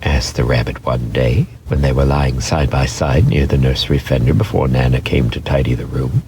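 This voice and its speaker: A man reading a children's story as a dramatic narration, expressive and deliberate in his delivery. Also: rumbling low, background noise. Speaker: storybook reader.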